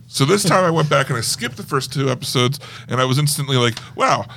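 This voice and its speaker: A man's voice: medium pitch, lively intonation, quick pace, gravelly, sharp tone, slight background noise. A man co-hosting a podcast in casual banter, wry and given to playfully exaggerated reactions. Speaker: podcast co-host.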